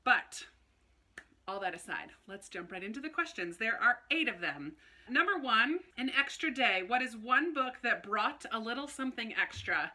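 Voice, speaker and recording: A woman chatting casually to camera like a book vlogger, in an animated, informal way with little self-interrupting asides. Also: fairly high pitch, normal speed. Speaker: book review video host. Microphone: phone camera recording.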